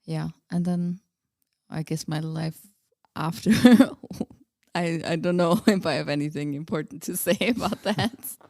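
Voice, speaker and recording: A woman's voice: lowish voice, measured pace, a little mellow, dry recording, studio quality. A woman from Austria, chatting informally and a little hesitantly as a guest in a casual interview. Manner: laughs easily, hesitant and informal.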